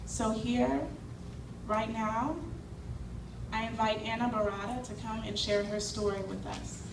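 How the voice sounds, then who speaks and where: A woman from Cincinnati giving an earnest, measured prepared speech as a community organizer. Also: a little high, steady tone, vocal fry. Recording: echoey hall, far mic, noisy.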